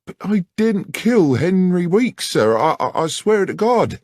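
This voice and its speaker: A man's voice: high-pitched, sing-song, measured pace, darkish tone, no echo, clean studio sound, voice pushed up, heavily acted. A man voicing a frightened, earnest young Englishwoman, deferential and stammering. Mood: pleading. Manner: deferential, plain-spoken, stammering in distress. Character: frightened young rural English working woman, earnest, pleading.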